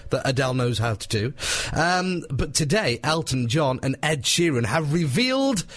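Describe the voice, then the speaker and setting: A man's voice: fairly high pitch, sing-song, moderate pace, fairly bright tone, no room sound. Chatty male radio presenter. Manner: chatty, laughs often, teasing. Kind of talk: casual phone-in radio chat.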